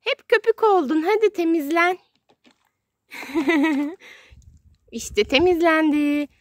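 A woman's voice: very high voice, slow, dry recording, clean studio sound. A woman narrating play for a small child in short, simple, sing-song exclamations, recorded outdoors. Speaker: children's video host playing with a child. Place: outdoors on grass in a garden.

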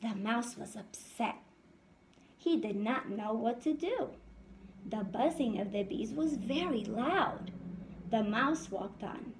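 A woman teacher reads aloud to young children in a lively, slow-paced voice. She is instructive and keeps posing questions. Faint noise sits behind her in a home room, picked up by a phone. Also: on the high side. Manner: animated, instructive, addressing children. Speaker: first-grade teacher.

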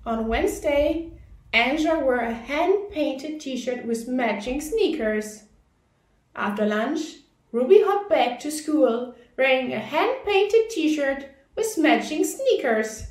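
A woman reads a children's story aloud with careful expression. Her voice is high and her pace is unhurried and slow. The room around her sounds echoey. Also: animated. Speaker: storybook reader. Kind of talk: scripted children's read-aloud narration.

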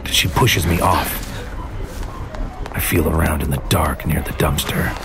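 An actor playing a brooding man on a surreal mission, narrating in clipped, poetic, deliberate phrases like a noir audio drama. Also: rumbling low, fairly even intonation. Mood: brooding, intense. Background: music.

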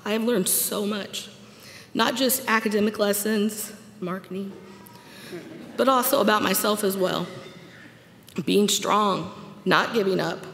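Woman in her 40s, commencement speaker, gravelly edge, podium mic, reverberant hall. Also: mid-range voice, a little slow, long pauses, a bit bright, a little hiss or hum. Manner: earnest, personal, read from notes with light humor. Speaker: graduate speaker. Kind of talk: commencement speech. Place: large auditorium stage at a podium. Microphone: podium microphone. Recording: echoey hall with long reverb.

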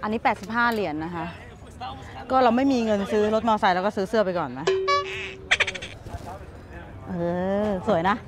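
A young woman vlogging casually at an outdoor motorcycle swap meet, chatty and excited, talking into a handheld camera. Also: medium pitch, normal speed, long pauses. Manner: chatty, excited, switching between Thai and English. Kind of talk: casual vlog chat.

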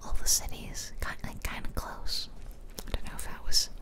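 A woman whispering casual, musing ASMR commentary close to the microphone as she plays a game. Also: normal speed. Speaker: game streamer. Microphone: close microphone, ear-to-ear whispering.

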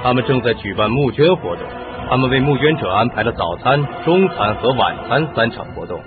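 A man's voice: mid-range voice, animated, fairly quick, music in the background, lightly acted. An actor playing a firm, skeptical male police inspector in a radio drama. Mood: businesslike. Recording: muffled phone-like radio recording.

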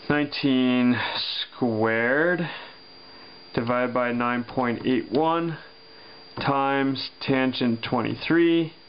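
Male physics teacher, deliberate and halting, dull desk recording with a low hum. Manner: slow, thinking aloud with many pauses and fillers. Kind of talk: worked-example lesson over paper. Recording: dull lo-fi sound with faint hum.

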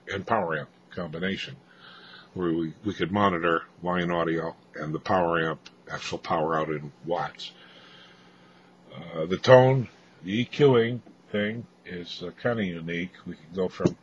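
A man giving an off-the-cuff technical walkthrough of equipment, explaining haltingly with frequent hesitations, as a hobbyist presenter. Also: low voice, a bit bright. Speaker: amateur radio hobbyist presenter. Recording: dull lo-fi audio, faint background noise.

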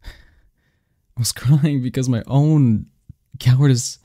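A man playing a lovestruck young research assistant in an intimate ASMR romance roleplay, murmuring haltingly as he confesses to his best friend. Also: medium pitch, steady tone, dark tone, dry recording, clean studio sound. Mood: wistful, regretful. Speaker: audio roleplay performer.

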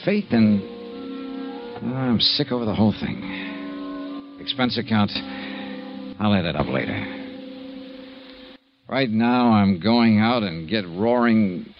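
A man's voice: on the low side, animated, takes it slowly, pauses often, highs cut off. An American man playing a terse, world-weary insurance investigator in a 1950s-style radio drama. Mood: bitter, weary. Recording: old radio drama recording with organ music.

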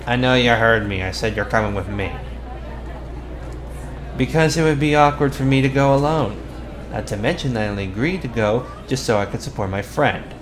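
Casting: male actor as teenage bully, tsundere type, medium-pitched, brusque and bossy, ASMR roleplay over audible background ambience. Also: moderate pace, crowd noise, natural voice. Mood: feigned casual. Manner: brusque, bossy, sighing and muttering. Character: tsundere teenage school bully with a secret soft side. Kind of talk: ASMR boyfriend roleplay acting. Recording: clear background ambience noise.

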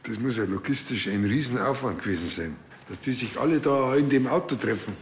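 A man plays a nosy, awkward Bavarian village cop in a TV crime comedy. He rambles earnestly at a medium pitch, with clear background noise around him. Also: lively intonation, brisk, lightly acted. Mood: puzzled. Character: chatty, awkward, nosy male rural policeman. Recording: loud vehicle and ambience noise.

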